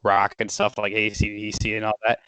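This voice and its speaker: A man hosting a casual interview, talking excitedly with lots of filler words. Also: lowish voice, brisk, smooth. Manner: enthusiastic, lots of "like" and "dude". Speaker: interview host.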